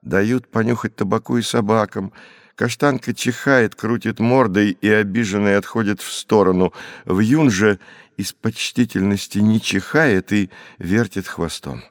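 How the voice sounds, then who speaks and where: A man with a low voice narrates classic literary prose. His delivery is measured and expressive, and the recording is dry, as in a studio. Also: no echo. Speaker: audiobook narrator.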